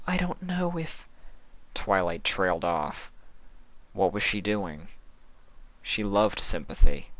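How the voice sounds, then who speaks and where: A narrator giving a measured, expressive, dramatic reading of a fan fiction story. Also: sits low, no room sound.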